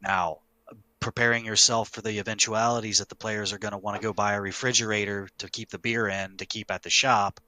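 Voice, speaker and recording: A man's voice: fairly low pitch, flat delivery, fairly quick, sharp tone, no room sound. A man speaking as a podcast host and game master, casually explaining how to run tabletop game stories.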